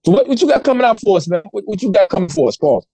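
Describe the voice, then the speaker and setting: Male podcast host, mellow dark tone, slangy animated talk, dry sound. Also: high voice, racing, vocal fry, breathy edge, no room sound. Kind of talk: casual podcast chat.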